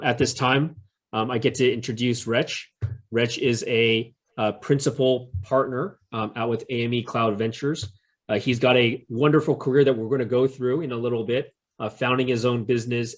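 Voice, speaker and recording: A man hosting a community fireside chat at a middle pitch. He speaks in a friendly, curious way, with many "uh"s and "um"s as he introduces the event and his guest. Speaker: interview host.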